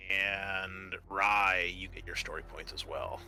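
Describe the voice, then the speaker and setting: A man hosting as game master, narrating a tabletop roleplaying game in a halting, conversational way. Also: low voice, steady tone, pushed and bright, slight background noise.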